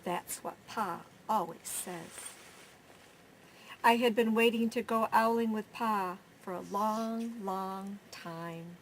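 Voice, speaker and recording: Lady reading a kids picture book really slowly, pauses between phrases, bit of background noise. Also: mid-range voice. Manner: measured phrasing with pauses and drawn-out words. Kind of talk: children's story reading.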